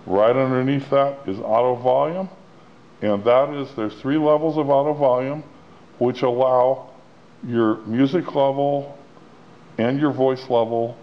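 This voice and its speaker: A man's voice: mid-range voice, fairly even intonation, unhurried. A man giving a plain-spoken, step-by-step instructional walkthrough of a product as its demonstrator. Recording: dull lo-fi sound with clear background noise.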